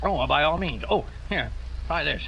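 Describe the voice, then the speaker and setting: A man's voice: a little high, animated, moderate pace, vocal fry, bright, forceful tone, exaggerated voice. An actor playing a pompous, blustering middle-aged businessman in a 1940s radio drama, recorded as a dull old broadcast with faint hiss. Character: pompous bombastic male gumball tycoon, middle-aged.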